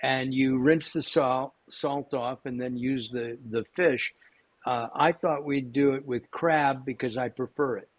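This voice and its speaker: A man's voice: mid-range voice, steady tone, measured pace, highs cut off. A man hosting a cooking show, chatting casually with lots of fillers and restarts. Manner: rambling, many fillers and restarts.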